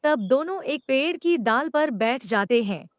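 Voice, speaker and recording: A woman's voice: sits high, animated, measured pace, no room sound, clean studio sound. A woman storyteller reading scripted narration for a children's cartoon, on a slightly noisy voice-over.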